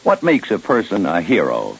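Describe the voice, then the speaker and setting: American male announcer, low-pitched, animated delivery, formal scripted narration, muffled old radio recording. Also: normal speed, creaky, over loud music.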